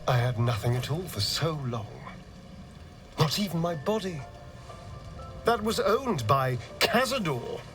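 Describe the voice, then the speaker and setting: An actor playing a vain, wounded male vampire rogue in a video game, with an arch, theatrical, halting delivery. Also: sits high.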